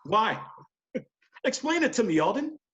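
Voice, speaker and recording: A man's voice: high voice, big pitch swings, a little slow, fairly bright tone, highs cut off, heavily acted. An actor playing a wry, emphatic male TV studio executive in a screenplay table read. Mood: exasperated, incredulous.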